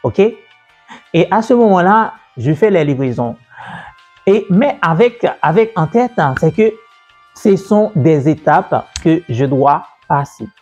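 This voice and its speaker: A man's voice: on the high side, lively intonation, takes it slowly, a little mellow, slightly rough. Man telling his business story. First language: French. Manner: conversational, repeats himself, many fillers. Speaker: entrepreneur and business coach. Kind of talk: personal story told to camera. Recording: dull, lo-fi sound with faint music.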